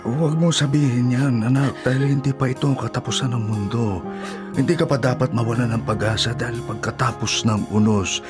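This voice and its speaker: Gentle older dad played by a male actor, low register, consoling and fatherly, radio drama over prominent music. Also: close to an everyday voice. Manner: soothing, fatherly, advising. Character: gentle, devout older father consoling his grown daughter.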